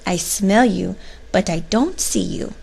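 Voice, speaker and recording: A woman's voice: mid-range voice, unhurried, vocal fry, darkish tone, slightly rough, full character voice. A woman voicing a swaggering kitten who poses as a heroic Chihuahua, grandiose, with a mock Spanish accent. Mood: bold, dramatic. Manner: grandiose, dramatic.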